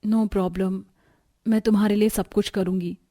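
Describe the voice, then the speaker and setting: A woman voicing an overworked young newlywed daughter-in-law in a cartoon, plaintive and soft-spoken. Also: medium pitch, steady tone, measured pace, faint music behind, close to an everyday voice. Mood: affectionate, worried.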